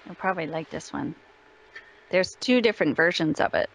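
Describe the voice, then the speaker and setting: A woman chatting casually as an interview guest, telling stories in an animated, chatty way. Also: low-pitched, normal speed, gravelly, low-quality recording. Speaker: voice actress being interviewed.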